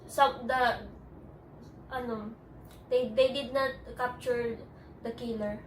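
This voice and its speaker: Filipino woman interviewee, hesitant English, slightly high pitch; roomy echo, faint background noise. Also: steady tone. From Philippines. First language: Tagalog. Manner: halting, hesitant, many restarts. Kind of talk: personal interview. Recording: echoey room, distant mic.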